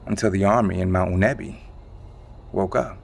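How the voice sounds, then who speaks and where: Actor as male insider, lowish pitch, calm, evasive, expository, matter-of-fact, audio drama delivery. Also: moderate pace, creaky, breathy edge, heavily acted. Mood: grave, measured. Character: evasive male insider calmly briefing on a secret catastrophe.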